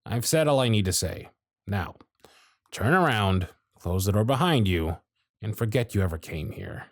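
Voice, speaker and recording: A man voicing a jaded, century-old male workshop supervisor who is gruff, weary, sardonic and blunt, in an ASMR roleplay with close miking. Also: on the low side, expressive, moderate pace, no echo. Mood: weary, stern. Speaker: voice actor in audio roleplay.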